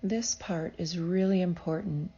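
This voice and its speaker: A woman with a low, mellow voice guides a meditation at a very slow pace. Her delivery is soothing and measured, giving gentle instructions. The recording is slightly dull and muffled. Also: fairly even intonation, breathy edge. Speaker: psychologist leading a guided meditation.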